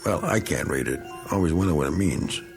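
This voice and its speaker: An actor playing a bitter, self-pitying middle-aged American war veteran in a radio drama, talking in a folksy, rambling, needling way. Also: male voice, low-pitched, animated, fairly quick, over music. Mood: probing, restless.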